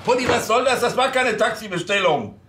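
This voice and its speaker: An actor playing a pompous, easily flustered middle-aged police officer in a TV crime drama. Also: male voice, very high voice, expressive, fairly quick, fairly bright tone, full character voice. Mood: indignant.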